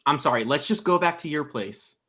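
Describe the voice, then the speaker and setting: A man's voice: on the high side, lively intonation, a little fast, a bit bright. A man in his thirties playing a flustered, exasperated boyfriend in a comedy table read on a video call, protesting and stammering. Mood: annoyed.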